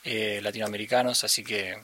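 A young man with an Argentine Spanish accent, a musician chatting thoughtfully in an interview with many pauses and restarts. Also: fairly low pitch, flat delivery, pushed and bright. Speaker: musician guest. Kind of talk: casual interview conversation.

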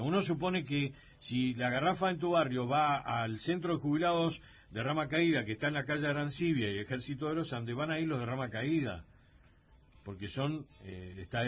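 A man's voice: mid-range voice, slightly rough. A man hosting a radio talk show, thinking aloud with many hesitations and fillers. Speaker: radio host.

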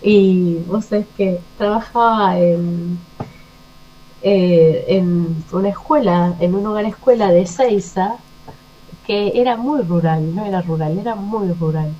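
A middle-aged woman with an Argentine accent, answering reflectively in a conversational interview, pausing and searching for words. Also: medium pitch, mellow. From Argentina, Buenos Aires province. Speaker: interview guest, poet. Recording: muffled lo-fi with mains hum.

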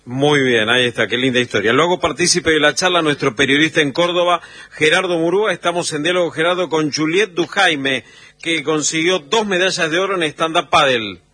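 A man's voice: a little high, brisk, bright, forceful tone. A man hosting a live national radio program who interviews guests conversationally with some hesitant fillers. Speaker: radio host.

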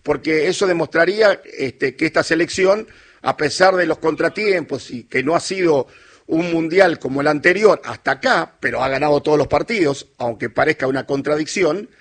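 Husky, higher-pitched Argentine radio man. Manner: conversational, emphatic, with hesitant searching pauses. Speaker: radio sports talk host. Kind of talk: opinionated sports talk, reading listener messages.

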